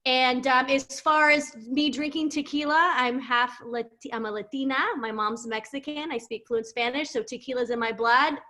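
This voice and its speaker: A chatty, upbeat young woman with a bright, forceful voice pitched a little high. She speaks over a video call, laughing easily as she introduces her business in a casual webinar. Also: steady tone, normal speed, hardly any pauses. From San Diego, California. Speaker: business owner introducing herself.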